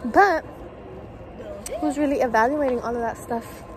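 Casting: American teen girl, high voice, bubbly slangy travel vlogger, recorded on a phone with clear background noise. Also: a little slow. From California, United States. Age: teens. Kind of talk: casual chatty vlog. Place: car, outdoor stops and hotel room. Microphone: phone at arm's length. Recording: noisy handheld phone, road and street ambience.

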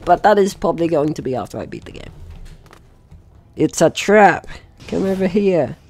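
A man's voice: fairly high pitch, expressive, normal speed, vocal fry. A man giving casual let's play commentary, chatty and jokey, talking to himself and the game's enemies. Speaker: gaming channel host.

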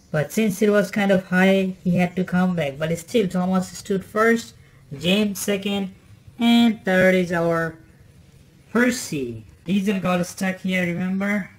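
Slow, halting adult toy channel host. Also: medium pitch. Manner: halting, with fillers and restarts. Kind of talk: casual commentary on toy play.

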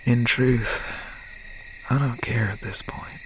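Crickets and an owl sound clearly behind a man acting out an obsessed rural slasher boyfriend in an ASMR horror roleplay. He talks very slowly and haltingly, breathy and often whispering, at a medium male pitch. His folksy, intimate manner carries a steady menace. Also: close to an everyday voice. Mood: cold, possessive. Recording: noisy night ambience with crickets, owl. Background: crickets, insects and an owl.

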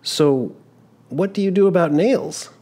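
A man reading a storybook aloud, voicing an eager, polite young male traveler who protests quickly. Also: high voice, warm tone, voice pushed up. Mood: curious.

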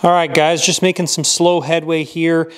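A man's voice: a little high, little pitch movement, moderate pace, clear, resonant tone. A man casually narrating his model aircraft build in a workshop, thinking aloud with frequent fillers.

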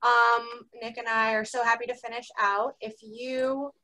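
Female co-host, bright forceful tone, slightly high pitch, casual joking chat, online event. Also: flat delivery, normal speed, poor audio. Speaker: co-host of an online cooking series.

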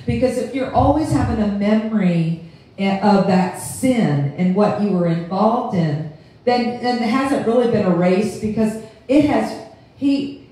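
A woman's voice: medium pitch, expressive, dark tone, far from the mic. Fervent older woman preaching in an echoey room. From United States. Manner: fervent, halting, with many restarts and fillers. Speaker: pastor. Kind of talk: sermon. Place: living room with a lectern. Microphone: handheld mic, camera across the room.

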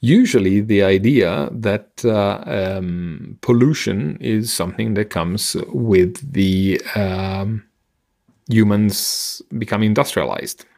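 A middle-aged man co-hosting a podcast, explaining science conversationally in a halting, teacherly way. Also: fairly low pitch, vocal fry, darkish tone. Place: indoor room on a video call. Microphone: headset and microphone close up.

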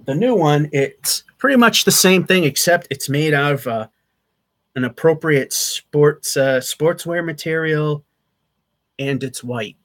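A man's voice: a little high, unhurried, a bit bright. A man in his thirties giving a casual, rambling vlog update from a small attic room, recorded on a webcam at desk distance. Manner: rambling, chatty, with fillers and restarts. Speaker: channel host. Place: small attic room with sloped wood-paneled ceiling.

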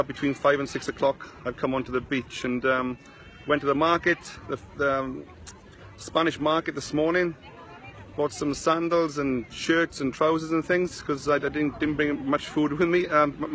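A man's voice: on the high side, fairly even intonation, normal speed, fairly bright tone. Chatty South Wales man on a beach. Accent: South Wales valleys. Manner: rambling, chatty, greets viewers by name. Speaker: online marketing vlogger. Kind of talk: casual Facebook live chat. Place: sunny beach outdoors. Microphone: phone held at arm's length.

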